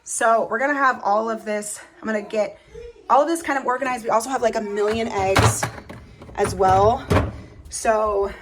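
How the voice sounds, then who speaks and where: Chatty young woman, slightly hoarse. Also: a little high, fairly even intonation, moderate pace, heavy background noise. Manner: chatty, upbeat, lots of fillers. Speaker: family vlogger. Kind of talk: casual chat to camera. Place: home kitchen.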